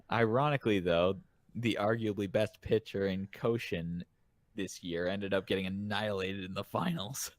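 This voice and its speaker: A man's voice: fairly low pitch, measured pace, no room sound. A man co-hosting a podcast, chatting casually and commenting on fan remarks. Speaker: podcast co-host. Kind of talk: casual reaction chat.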